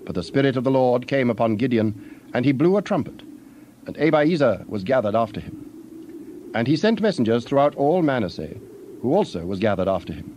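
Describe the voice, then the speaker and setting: A man narrating scripture from a script in a measured, formal manner. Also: mid-range voice, darkish tone, a little hiss or hum.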